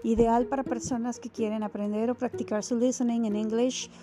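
A woman's voice: on the high side, little pitch movement, fairly quick. A woman hosting her own podcast, talking casually with many fillers and restarts as she thanks listeners and makes announcements. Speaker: podcast host.

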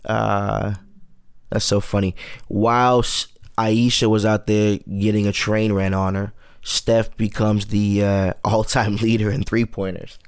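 Gravelly young man ranting casually. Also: fairly low pitch, flat delivery, a little slow, no echo, studio quality. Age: 20s. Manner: loose, profane, rambling commentary. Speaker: podcast host. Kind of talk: casual solo podcast chat.

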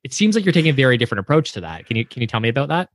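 A man's voice: mid-range voice, sing-song, very fast, no room sound. A man hosting a podcast, asking questions in a friendly, curious way with some stumbles. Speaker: podcast host. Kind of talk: podcast interview.

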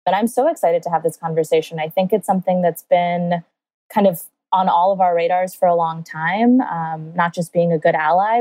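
A woman from India who grew up in Los Angeles speaks as a podcast guest. Her voice is low and mellow, and she talks in a relaxed, thoughtful way. The recording is dry and close. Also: dry recording, rough recording. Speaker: guest, activist group co-leader. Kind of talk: casual interview chat.

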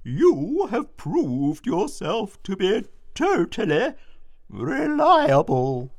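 A woman's voice: medium pitch, wildly swooping pitch, drawn-out words, darkish tone, heavily acted. A woman voicing a stern, stately old grandfather mouse who calls the mice to order.

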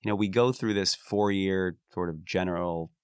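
A man's voice: low-pitched, moderate pace, clear, resonant tone, dry recording, clean studio sound. An American man, a lawyer, talking earnestly in an interview about his career, often restarting his words and adding asides. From United States. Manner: frequent restarts and "you know" asides, earnest. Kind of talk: reflective casual interview talk.

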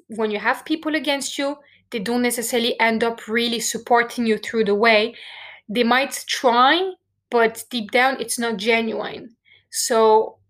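A woman's voice: on the high side, a bit bright. A woman with a French accent, a native French speaker from France, sharing a personal story in an earnest, heartfelt monologue to her listeners. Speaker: podcast host.

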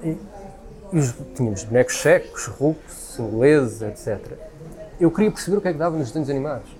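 A man's voice: mid-range voice, lively intonation, fairly quick, a little mellow. A man with a European Portuguese accent talking spontaneously and giving his opinions in an emphatic, repetitive way. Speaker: speaker sharing opinions in a conversation.

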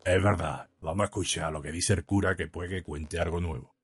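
Man as husky, confiding Andalusian sidekick. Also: steady tone, brisk. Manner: colloquial, low confiding talk. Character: cautious Andalusian working man, drunk heckler's sly companion.

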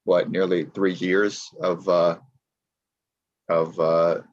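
A man's voice: sits low, little pitch movement, takes it slowly, muffled recording. An American man speaking as a guest in a casual interview, talking emphatically and repeating his points. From United States.

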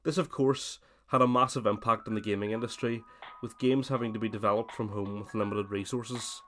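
A man with a cold hosting his gaming podcast, chatting casually and then reading a scripted essay in steady narration. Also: fairly low pitch, fairly quick, a little hiss or hum. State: has a cold, voice slightly worn. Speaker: podcast host.

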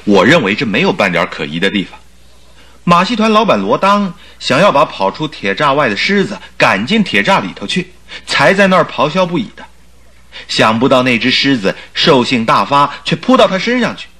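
A stubborn, skeptical doctor in a radio play. His bright voice rises and falls in animated swings as he insists and protests theatrically. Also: mid-range voice, normal speed, husky, full character voice. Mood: stubborn, protesting. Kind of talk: Chinese radio drama acting.

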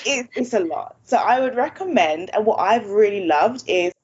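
A woman's voice: medium pitch, expressive, normal speed, breathy edge. A native English-speaking woman hosting a language-learning video, talking casually and laughing often. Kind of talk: casual chat. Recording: dull lo-fi sound.

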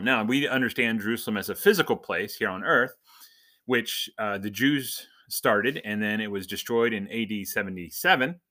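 Bright, forceful man teaching the Bible informally. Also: medium pitch. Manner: conversational, explanatory, asks questions and answers them. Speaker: podcast host giving Bible teaching. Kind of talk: informal Bible lesson with scripture reading.

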